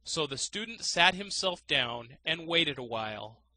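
Very bright, slow-reading male storyteller. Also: fairly high pitch, animated. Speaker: audiobook narrator. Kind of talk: scripted fairy tale narration.

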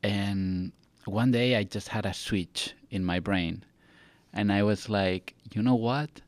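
A young man with a Spanish accent speaks in a low voice, close and dry on a studio mic. He talks casually and earnestly, stressing his points like a guest in a relaxed interview. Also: takes it slowly, no room sound. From Catalonia, Spain. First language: Spanish and Catalan. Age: young adult. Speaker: actor, podcast guest. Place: small podcast studio. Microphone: close boom-arm studio mic.

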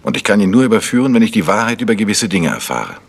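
An actor playing a calm, polite gentleman investigator in a German radio crime drama, asking insistent questions. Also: lowish voice, fast talker, vocal fry, slightly rough, full character voice. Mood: earnest, insistent.

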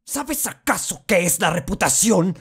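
Man acting a slow, low-voiced vengeful duke. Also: darkish tone. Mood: scornful lecturing. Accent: Latin American Spanish. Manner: solemn, declamatory, deliberate. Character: vengeful, anguished Jacobean duke, a wronged brother. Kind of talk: classical theatrical verse monologue.

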